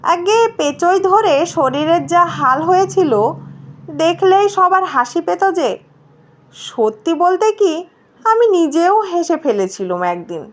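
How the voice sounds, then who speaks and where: A woman's voice: very high-pitched, lively intonation, takes it slowly, pitched above the performer's own voice, full character voice. A woman voicing a skinny ghost boy in a storybook tale, with rustic deferential speech and a mocking, grinning temper. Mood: cheeky. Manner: rustic deferential address mixed with taunting.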